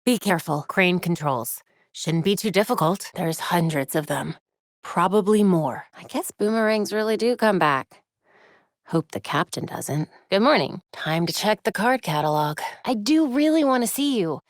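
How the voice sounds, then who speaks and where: A woman voicing a young American superheroine in a video game, sharp, determined and dryly witty. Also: lowish voice, animated, normal speed, no echo. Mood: wry, teasing, then warm, soft. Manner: dry, wry asides.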